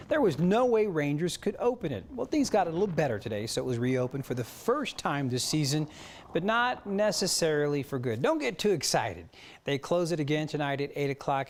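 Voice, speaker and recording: A man with an American accent reading scripted news stories as a television anchor, with some banter. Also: on the high side.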